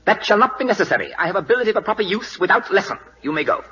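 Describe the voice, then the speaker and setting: Imperious Siamese king, wildly animated. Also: sits high, rapid-fire. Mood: brisk, demanding. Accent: put-on Siamese. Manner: clipped broken English with stiff formal words. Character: proud, imperious, impatient 19th-century king of Siam. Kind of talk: 1940s radio drama acting. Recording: dull lo-fi old radio recording.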